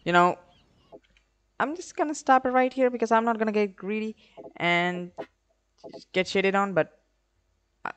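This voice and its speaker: A young man giving casual gameplay commentary in an excitable, slangy, profane way, speaking into a headset. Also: high voice, a little slow, pauses often. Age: young adult. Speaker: gamer, YouTube host. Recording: clear game gunfire noise behind voice. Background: shooter game gunfire and clicks.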